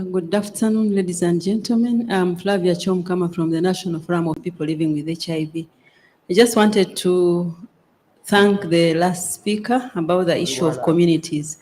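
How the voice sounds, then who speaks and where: A woman makes an earnest point from the floor of a conference hall. She speaks deliberately, with some hesitations. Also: on the low side, darkish tone. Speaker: advocacy group representative.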